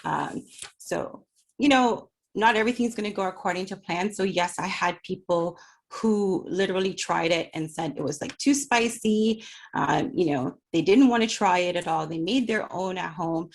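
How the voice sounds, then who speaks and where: A woman's voice: fairly low pitch, moderate pace. A middle-aged woman business owner speaking remotely at a virtual event, telling her story in a chatty, personable way with lots of "you know" fillers. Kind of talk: conversational talk about her business journey. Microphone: remote call for a virtual event.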